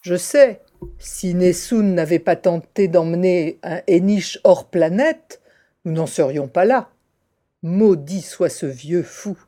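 A woman's voice: fairly low pitch, slow, dark tone. A woman playing a cold, scheming scientist villain in an audio drama, speaking in a curt, imperious manner. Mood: irritated. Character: cold, scheming female scientist in a kidnapping plot.

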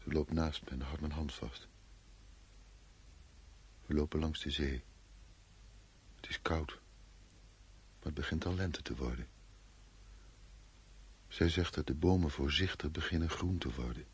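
An actor playing a brooding, reflective husband who recounts a dream in a radio drama monologue, his voice gravelly and husky. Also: extremely low pitch, measured pace, long pauses, heavily acted. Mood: wistful.

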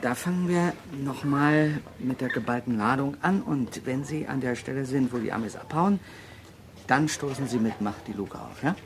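An actor in a radio drama playing an opinionated documentary director who speaks in a directive, matter-of-fact way. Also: male voice, mid-range voice, normal speed, noisy background, natural voice. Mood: brisk, directing. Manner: directive, musing. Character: brisk, opinionated male documentary film director. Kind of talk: naturalistic radio drama dialogue.

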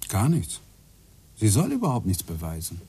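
An obsessive coincidence-hunting husband. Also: male voice, lowish voice, huge pitch swings, normal speed, dark tone, breathy edge, heavily acted. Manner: earnest, eager, lecturing. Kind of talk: radio play acting.

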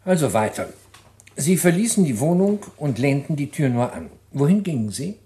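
An actor playing a businesslike public prosecutor in a radio crime drama, questioning tersely and formally. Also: male voice, fairly high pitch, big pitch swings, normal speed, mellow, slight background noise. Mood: probing.

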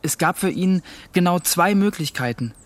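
A man's voice: high-pitched, animated, normal speed. A young man playing a shaken teenage boy, earnestly recounting an ordeal in a radio drama. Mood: defensive. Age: young adult. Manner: earnest first-person recounting. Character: shaken fourteen-year-old boy recounting a hostage ordeal.

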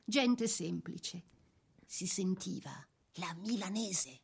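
A woman performing as a theatrical narrator, presenting a life story in scripted, measured phrases with pointed pauses. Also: on the low side, animated, vocal fry, slightly rough, full character voice. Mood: evocative. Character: woman narrator presenting a dead poet's life through photos. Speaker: narrator in a staged biography of a poet. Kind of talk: scripted dramatic narration, showing photographs.

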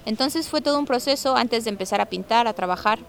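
A woman's voice: fairly high pitch, fast talker, creaky. A woman giving a conversational, first-hand account, telling her story as an artist resident. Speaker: artist resident recounting a squat and eviction. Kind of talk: personal testimony, conversational storytelling.

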